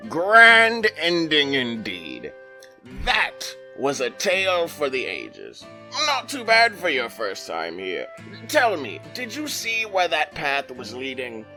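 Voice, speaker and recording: A man's voice: a little high, wildly swooping pitch, takes it slowly, sharp tone, faint music behind, heavily acted. A man playing a genial, theatrical keeper of tales who courteously addresses his guest in an audio drama. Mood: genial, grandiose. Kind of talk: audio drama host narration.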